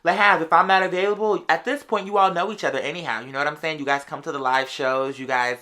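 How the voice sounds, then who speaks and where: An American host talking casually to followers in an animated, slangy way, telling stories conversationally. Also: medium pitch, fast talker. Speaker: online show host. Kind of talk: casual chatty monologue to followers.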